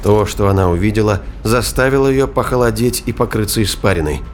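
A man's voice: fairly low pitch, lively intonation, brisk, a little hiss or hum. A man giving dramatic scripted narration of a science fiction thriller as an audiobook narrator. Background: prominent music and battle sound effects.

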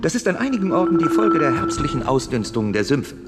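An actor playing an opinionated village barber in a German radio drama, declaiming assertively. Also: male voice, fairly high pitch, sing-song, quick pace. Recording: long reverb, music behind.

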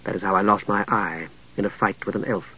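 Audiobook role: commanding, educated middle-aged noblewoman warrior, performed by a man, low, hushed, composed, muffled audio. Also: fairly even intonation, brisk, slightly rough. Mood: wry, composed. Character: regal, knowing middle-aged female knight in disguise.

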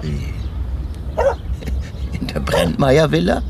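A genial, absent-minded police inspector in a radio crime drama. His medium-pitched voice is conversational and easily flustered. It is set in very noisy open air with dogs around. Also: huge pitch swings, slow, vocal fry, full character voice. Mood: genial, curious.

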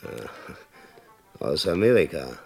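A curious American reporter in a 1960s radio western. His voice is deep and unhurried, reserved and measured, with brief inquiring phrases. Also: big pitch swings, creaky, mellow, slightly rough, faint music behind, exaggerated voice. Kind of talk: 1960s German western radio play acting.

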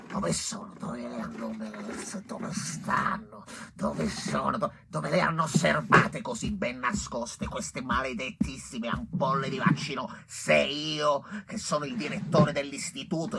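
An actor playing a self-important, agitated doctor who runs a public health office, haranguing and cursing in theatrical radio drama style. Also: male voice, mid-range voice, heavy background noise, lightly acted. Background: music.

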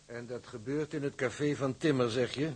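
A man playing a formal, calculating shipping company official in a radio drama, measured and officious. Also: mid-range voice, noisy background, natural voice. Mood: cool, businesslike.

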